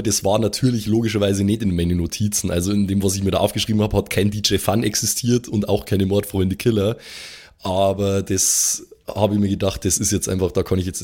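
A man's voice: lowish voice, darkish tone. A man chatting casually as a game master on a podcast, colloquial and peppered with English slang. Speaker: game master and player on a role-play podcast. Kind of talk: casual chat.

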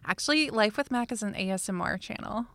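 Thirties woman host, loose chatty delivery full of fillers, middle pitch. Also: lively intonation, fast talker, a bit bright, no room sound, clean studio sound. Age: 30s. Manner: chatty, laughs often, many fillers. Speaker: podcast host. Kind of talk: casual chat.

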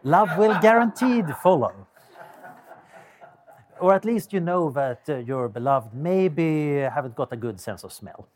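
Swedish man, lively wry explainer. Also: a little high. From Sweden. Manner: wry, musing, with frequent hesitations. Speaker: guest speaker, neuroscientist and ethicist. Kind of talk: explanatory talk.

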